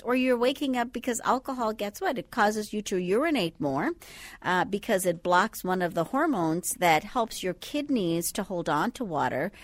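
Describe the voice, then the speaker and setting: A woman from the Bahamas, a sleep physician, calmly explaining things step by step as a guest in a radio studio. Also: mid-range voice, no echo. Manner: calm, patient, explains step by step. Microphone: studio microphone.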